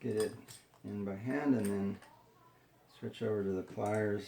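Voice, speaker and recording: Male how-to presenter, unhurried and dark-toned, unscripted, explaining each step haltingly in an echoey room. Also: fairly low pitch, fairly even intonation, gravelly, slight background noise. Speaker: tutorial presenter. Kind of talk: hands-on how-to demonstration, unscripted.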